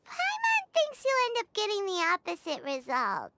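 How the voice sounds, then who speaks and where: A woman's voice: extremely high pitch, huge pitch swings, normal speed, very noisy, heavily acted. A woman voicing a tiny, chirpy, childlike fairy companion in a video game, perky and talking about herself in the third person. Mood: perky, teasing.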